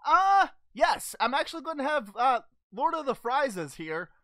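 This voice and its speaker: A cheerful, simple-minded martial-arts hero from a cartoon parody, acted out by a performer. The high voice swings widely from muttering to exclaiming, blunt and halting as it reads fan questions. The sound is close and dry. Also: very animated, sharp tone, dry recording, heavily acted. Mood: upbeat, chatty. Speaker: host reading fan questions. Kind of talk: in-character fan question-and-answer show.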